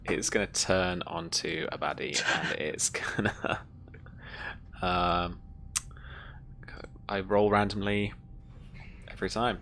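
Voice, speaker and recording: Woman, game master, low pitch, bright forceful tone, informal tabletop play. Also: steady tone, slow pace, pauses often, a little hiss or hum. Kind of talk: running a tabletop roleplaying game.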